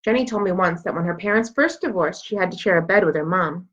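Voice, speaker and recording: A woman reading a children's book aloud as an expressive storyteller. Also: fairly low pitch, fast talker. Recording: dull, lo-fi sound.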